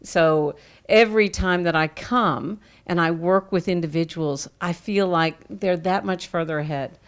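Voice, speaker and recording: Woman from arkansas, lowish voice, earnest and laughy. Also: a little slow, clear, resonant tone. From Arkansas, United States. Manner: warm, laughs easily, earnest. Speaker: volunteer practitioner. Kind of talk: casual personal testimony.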